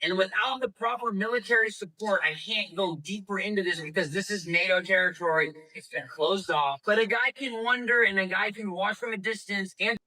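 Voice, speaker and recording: High, forceful man filming himself. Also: fairly quick, few pauses, recorded from a distance, low-quality recording. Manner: emphatic, sweary. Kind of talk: excited vlog narration.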